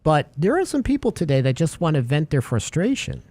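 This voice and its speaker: A man's voice: fairly high pitch, a little fast, dry recording. A man hosting a radio talk show, chatting casually and acting out little stories as he goes. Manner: animated storyteller, acts out little scenes.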